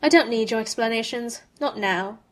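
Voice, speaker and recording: A woman's voice: on the high side, normal speed, natural voice. A woman reading aloud as a proud young male wizard, with a scornful, clipped and cutting manner.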